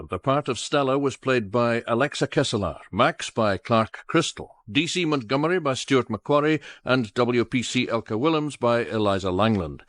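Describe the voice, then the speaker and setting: A male announcer reads scripted credits for a radio drama. His voice is mid-pitched, and the sound is close and dry, as from a studio. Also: a bit bright, dry recording. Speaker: continuity announcer.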